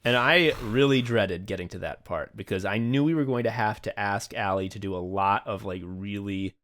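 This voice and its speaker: A man's voice: fairly low pitch, moderate pace, a bit bright. A man hosting a podcast, chatting casually and effusively, with plenty of swearing. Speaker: podcast host. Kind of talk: casual behind-the-scenes podcast chat.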